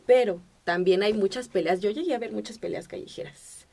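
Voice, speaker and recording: A Mexican woman from central Mexico speaking as a podcast guest in a casual, animated conversation, acting out the remarks she quotes. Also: mid-range voice, fairly quick, vocal fry.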